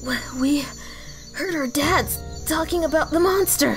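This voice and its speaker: Lady voicing an earnest village kid, high voice, slow talking, audio drama. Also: music in the background. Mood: earnest, sheepish. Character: earnest young village child wanting to help.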